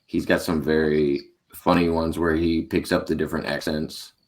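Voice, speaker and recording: A man's voice: rumbling low, flat delivery, moderate pace. An American man co-hosting a podcast, chatting casually and rambling, often laughing. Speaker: podcast co-host. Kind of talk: casual chat.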